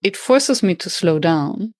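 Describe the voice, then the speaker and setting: A woman with a German accent hosts the conversation in a slightly low voice, recorded dry and close. She thinks aloud quickly and restarts her phrases along the way. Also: lively intonation, darkish tone, dry recording, faint music behind. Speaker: podcast host and facilitation trainer. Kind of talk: conversational interview.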